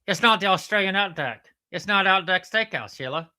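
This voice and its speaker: A man's voice: high-pitched, lively intonation, fairly quick, pushed and bright, voice pushed up, exaggerated voice. A man voicing a touchy, whiny platypus puppet in comic banter, exasperated and emphatic, repeating himself. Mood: indignant.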